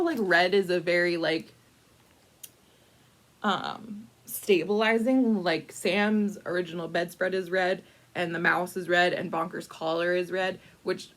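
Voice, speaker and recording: A woman narrating a picture book in a casual, improvised storytime style, with playful, wry asides and thinking aloud as she goes. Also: mid-range voice. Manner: playful, wry asides with mock-dramatic commitment; conversational, frequently pausing to think aloud. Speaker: library storyteller reading a picture book to adults.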